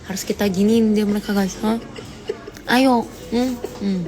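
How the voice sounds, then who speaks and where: A woman's voice: steady tone, measured pace, warm tone. A teenage girl hosting a casual phone livestream, reading comments and teasing her viewers playfully. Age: teens. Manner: teasing, playful, rises high when mock-outraged. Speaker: livestream host.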